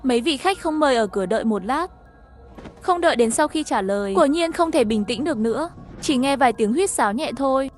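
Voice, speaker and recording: A woman's voice: high-pitched, animated, fairly quick. A woman reading a scripted first-person story as its narrator, with music behind her.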